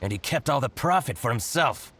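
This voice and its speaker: An actor playing a scheming, spiteful shopkeeper in a video game, with exaggerated, exclamatory, gloating delivery. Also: male voice, mid-range voice, expressive, fairly quick, pushed and bright, slightly rough. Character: scheming, theatrical male shopkeeper, gloating and spiteful toward rival.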